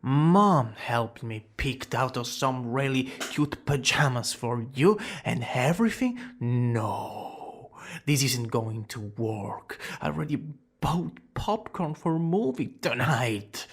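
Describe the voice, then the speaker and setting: A man's voice: medium pitch, animated. A man playing a smug, dramatic young villain student who is secretly smitten, in an ASMR romance roleplay. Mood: flustered, exasperated. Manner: dramatic, drawn-out boasting that slips into breathy whispers and flustered exclamations. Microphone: close studio-style microphone.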